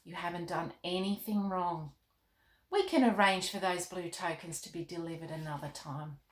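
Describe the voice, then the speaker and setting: A woman reading the part of a gentle, wise, fatherly storybook king in a calm, measured, reassuring way. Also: on the low side, close to an everyday voice. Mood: calm, kindly. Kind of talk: storybook read-aloud. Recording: roomy, echoing indoor sound.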